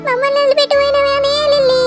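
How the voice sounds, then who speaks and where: A wailing village mother in a family drama, with an extremely high, childlike pitch held in a narrow range. She laments tearfully in Telangana Telugu, music behind her. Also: monotone, racing, dry recording. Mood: wailing grief. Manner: lamenting, repetitive appeals. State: crying.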